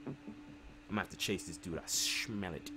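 A man's voice: sits low, moderate pace, gravelly, background noise. A young American man doing casual, rambling let's play commentary in slang for his live viewers. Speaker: live streamer playing a driving game. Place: indoor room at home. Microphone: desk microphone for streaming. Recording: loud game music behind.

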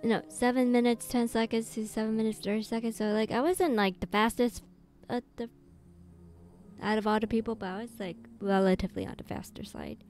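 A woman's voice: a little high, fairly even intonation, brisk, a little mellow, soft background music. A woman streaming a game live, chatting casually with her viewers in a rambling, giggly way with many fillers.

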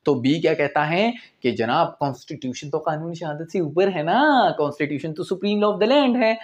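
Male lecturer, twenties, Pakistani accent, fairly high voice, informal explanatory delivery with English terms mixed in. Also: expressive, moderate pace, highs cut off. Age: 20s. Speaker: law lecturer. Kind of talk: informal lecture with acted-out examples. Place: small indoor room in front of a whiteboard.

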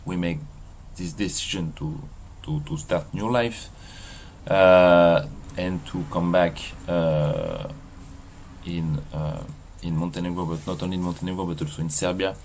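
Low-voiced Frenchman with a heavy accent. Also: a little mellow, slight background noise. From France. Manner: halting, many fillers and restarts. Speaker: vlogger. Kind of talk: casual walking vlog. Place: outdoors in a seaside mountain town.

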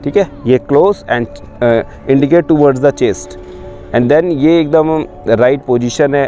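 A man's voice: mid-range voice, darkish tone. Man coaching on an outdoor cricket ground. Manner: explains step by step while showing a ball grip. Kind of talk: spoken coaching tutorial. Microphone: lapel mic on camera facing him.

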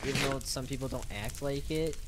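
Chill dude on a voice call, mid-pitch, lots of mic noise. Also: male voice, steady tone, a little fast. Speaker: server lore organizer. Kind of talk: casual voice chat while gaming.

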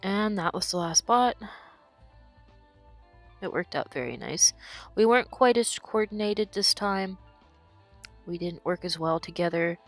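Hoarse woman commenting on her gameplay. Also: lowish voice, animated, a little slow, pauses often, faint music behind. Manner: explanatory, talks through her decisions. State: losing her voice from illness.

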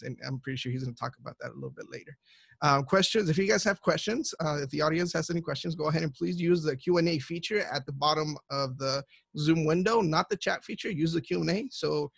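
A man's voice: a little high, gravelly, husky, no echo. A young man hosting a webinar over a headset, reading out a guest's bio with many fillers. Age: young adult. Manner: reads a prepared bio with fillers. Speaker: student host. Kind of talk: webinar introduction.

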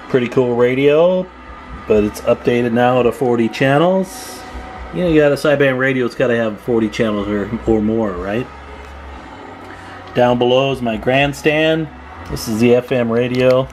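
A man giving a casual, informal hands-on demonstration as a hobbyist, unhurried, recorded on a handheld camera in a room at home. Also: medium pitch, darkish tone, slight background noise. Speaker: CB radio hobbyist showing his equipment. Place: indoor room at home with radio gear. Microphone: handheld camera microphone, speaker off screen.

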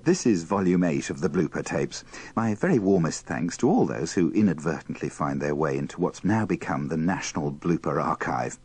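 A man's voice: very deep voice, animated, moderate pace, darkish tone. A man delivering scripted, humorous narration as the presenter of a compilation. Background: music.

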